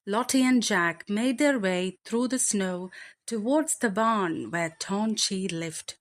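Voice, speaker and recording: A woman reading a children's bedtime story aloud with deliberate, expressive phrasing. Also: medium pitch.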